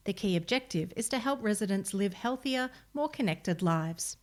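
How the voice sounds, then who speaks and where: A woman's voice: mid-range voice, lively intonation, moderate pace. A woman with an Australian accent reads a scripted local news article as a volunteer narrator.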